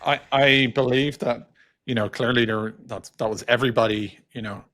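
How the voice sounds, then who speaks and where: A man's voice: medium pitch, fairly even intonation, moderate pace, a bit bright. A middle-aged man giving thoughtful interview answers as a guest, speaking hesitantly and often repeating his words. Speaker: podcast guest, technology executive.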